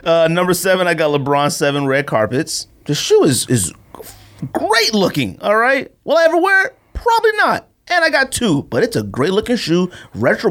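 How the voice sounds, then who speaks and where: A man's voice: on the high side, fairly bright tone. A man chatting casually as a podcast co-host, teasing and slangy. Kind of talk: casual banter about sneakers.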